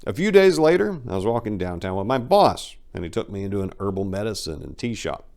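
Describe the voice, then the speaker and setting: Low-voiced man narrating a brash memoir. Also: very animated, fairly quick, a little mellow. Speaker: book narrator. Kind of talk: dramatic first-person memoir reading.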